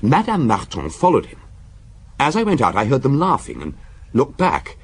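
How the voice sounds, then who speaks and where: An actor playing a deferential, dryly amused police subordinate in a radio drama, reporting in a deliberate storytelling way with small hesitations. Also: male voice, mid-range voice, huge pitch swings, slightly rough, full character voice.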